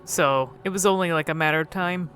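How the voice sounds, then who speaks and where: A woman's voice: fairly low pitch, fairly quick, a bit bright. A woman giving casual, unscripted commentary on game cutscenes, musing hesitantly and often trailing off mid-thought. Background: music.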